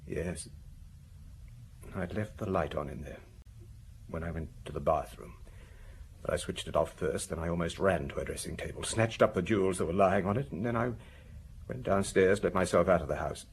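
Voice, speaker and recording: An actor playing a shaken, vain husband in a radio drama, speaking in a halting, anguished, defensive way. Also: male voice, deep voice, gravelly, a little hiss or hum. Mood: numb, confessional. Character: shaken husband, a vain well-dressed provincial, wife found murdered.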